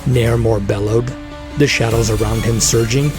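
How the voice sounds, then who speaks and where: A man's voice: mid-range voice, steady tone, normal speed. A man reading scripted, dramatic fantasy narration as the storyteller of an audio drama. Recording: loud dramatic music behind.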